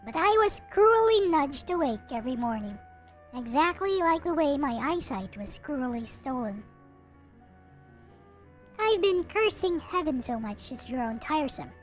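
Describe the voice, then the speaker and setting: Woman reading as a high-voiced, despairing young narrator. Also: big pitch swings, a little slow. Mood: bitter, despairing. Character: despairing young narrator losing eyesight, wistful and bitter. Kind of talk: reading visual novel text aloud. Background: game music.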